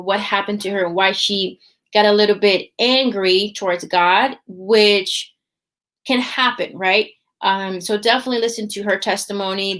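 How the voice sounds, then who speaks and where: A woman's voice: mid-range voice, fairly bright tone. A woman hosts a faith podcast in a loose, chatty way. Her voice sits in an ordinary middle register, and she keeps checking in with listeners by ending thoughts with "right?". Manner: chatty, often tags lines with "right?". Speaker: podcast host. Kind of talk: casual faith podcast talk.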